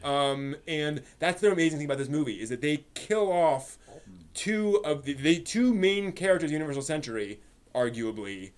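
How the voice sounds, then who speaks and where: A man with a slightly high voice hosting a casual anime review, explaining in a halting way with repeated words and emphatic asides. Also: lively intonation, smooth. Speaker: host reviewing anime on a live stream.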